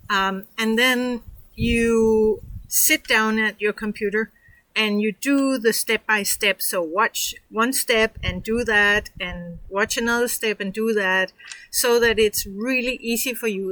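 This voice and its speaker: A woman's voice: fairly high pitch, sharp tone, no echo, poor audio. A woman from Denmark with a Danish accent, walking viewers through a tutorial in a chatty, encouraging way with lots of fillers. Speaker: online course instructor. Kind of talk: casual screen-share tutorial.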